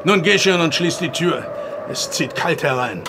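An actor playing a stern, pious farmer father in a radio drama, gravelly and formal in speech. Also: fairly high pitch, lively intonation, unhurried, background noise. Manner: formal, commanding.

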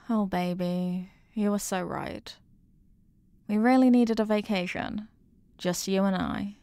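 A woman's voice: medium pitch, fairly even intonation, slow, mellow, clean tone, no echo. A woman playing a doting, intensely possessive girlfriend in an intimate ASMR roleplay, cooing tenderly with pet names. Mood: tender, soothing.

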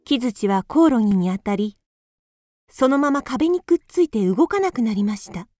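Calm woman narrating a fairy tale. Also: a little high, normal speed, no room sound. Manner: calm, clear storytelling. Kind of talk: storybook narration for a radio drama.

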